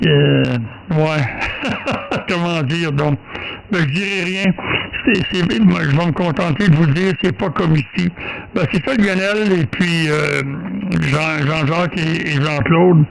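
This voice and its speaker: Quebec male radio amateur, high and fry-laden voice, casual, hesitant, slight noise behind. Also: rough recording. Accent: Quebec French. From Quebec, Canada. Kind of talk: casual radio contact chat.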